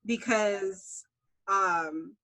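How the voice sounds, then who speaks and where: A woman being interviewed as a writer, chatting casually in an animated, self-deprecating way. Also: mid-range voice, extremely slow, low-quality recording.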